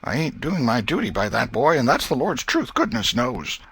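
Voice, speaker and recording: A man's voice: medium pitch, sing-song, gravelly, fairly bright tone, husky, no room sound, pitched above the performer's own voice, exaggerated voice. A man voicing a fretful, tender-hearted old American country aunt in a folksy Southern accent for a storybook reading. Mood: exasperated, tender. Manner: folksy, exclamatory, drawn-out lamenting. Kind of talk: dramatized audiobook reading.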